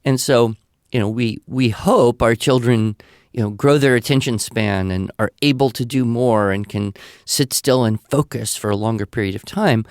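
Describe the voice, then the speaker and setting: A man answers questions in a conversational, explanatory way, with a medium-pitched voice. He leans on key phrases for emphasis as he gives advice. The sound is dry, with no room reflections. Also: normal speed, smooth, no echo. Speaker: podcast guest answering listener questions.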